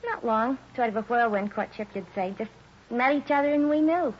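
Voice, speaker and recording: A woman's voice: a little high, a little fast, lightly acted. A woman playing a chatty, naive, smitten young woman in a 1950s radio police drama, speaking in breezy slang. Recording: dull, noisy 1950s radio recording.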